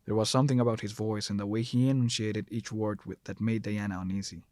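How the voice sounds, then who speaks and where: A man's voice: lowish voice, fairly even intonation, fast talker, a little mellow, no room sound. A man narrating a scripted horror story as the narrator, recorded close to the mic.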